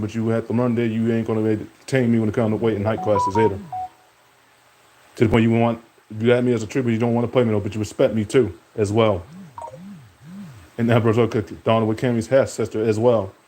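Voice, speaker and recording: A man's voice: level tone, fast, background noise. A young man streaming, rambling from topic to topic in casual talk and speaking to an absent rival. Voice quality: deep voice.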